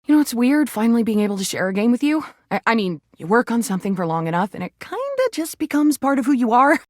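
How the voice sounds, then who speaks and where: A man's voice: extremely high pitch, very animated, fast talker, no echo, clean studio sound. A man voicing a hyperactive goofball game mascot, campy and theatrical, full of playful silliness. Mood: flustered, chatty.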